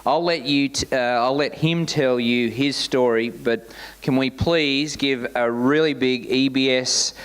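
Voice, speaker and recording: A man hosting a business forum, introducing the guest speaker through a relaxed personal anecdote. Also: medium pitch, unhurried, few pauses, clear, resonant tone. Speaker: event host.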